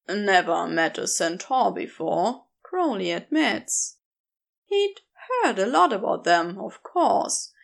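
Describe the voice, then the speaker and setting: A woman narrating a story as an audiobook, reading expressively from a script. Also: sits high.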